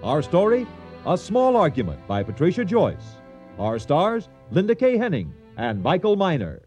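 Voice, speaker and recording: A man's voice: a little high, wildly swooping pitch, moderate pace, a little mellow. A man announcing a radio program in a scripted introduction. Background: faint music.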